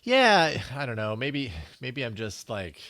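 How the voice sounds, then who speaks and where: A man's voice: medium pitch, sing-song, measured pace, fairly bright tone, dry recording. A man co-hosting a podcast in casual chat, easygoing and agreeable, with many "you know"s and "like"s. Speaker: podcast co-host.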